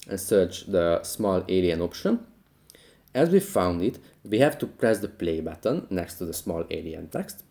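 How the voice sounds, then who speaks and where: A man's voice: low voice, warm tone. A man narrating a scripted step-by-step app tutorial as its host.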